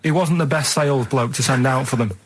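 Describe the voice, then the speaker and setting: A man's voice: medium pitch, fast talker, breathy edge, no room sound. A man co-hosting a radio show, telling rambling anecdotes in a blunt, colloquial, casual way.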